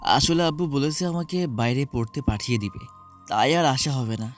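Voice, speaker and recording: A man's voice: mid-range voice, moderate pace, lightly acted. A man playing an uneasy, evasive young university student in an audio story, with music underneath. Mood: nervous, evasive. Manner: hesitant, evasive. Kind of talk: Bengali audio story acting.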